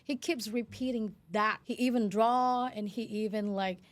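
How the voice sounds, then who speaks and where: A woman's voice: fairly high pitch, fairly even intonation, normal speed. A woman in her thirties with a Vietnamese accent, telling her story earnestly as an interview guest in non-native phrasing. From Vietnam, Hanoi. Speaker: interview guest, educator and CEO.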